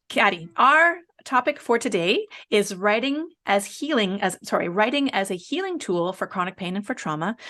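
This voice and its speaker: A woman speaks as the host of an interview. Her voice sits at a medium pitch, and she talks in a conversational style as she leads the discussion. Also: a bit bright.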